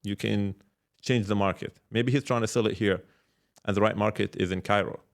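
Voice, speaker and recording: Low-voiced man, halting conversational delivery. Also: fairly even intonation, gravelly. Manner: halting, repeats words, explains with examples and check-questions. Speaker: podcast guest, startup founder and mentor. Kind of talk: casual reflective interview talk.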